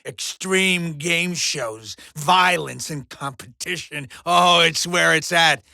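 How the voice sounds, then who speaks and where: A man's voice: a little high, takes it slowly, gravelly, sharp tone, no echo, clean studio sound, exaggerated voice. A man voicing a pompous, sleazy alien media mogul in a video game, with hammy, theatrical showman delivery. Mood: grandiose welcome. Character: bombastic, sleazy alien showbiz mogul, male, crude and bullying.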